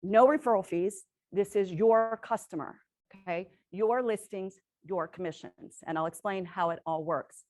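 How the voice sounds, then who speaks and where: A woman presenting to a room of real estate agents, energetic and quizzing the audience, who says she is losing her voice. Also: medium pitch, normal speed. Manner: energetic, asks the audience questions, tags lines with "right?". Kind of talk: sales presentation to a live audience.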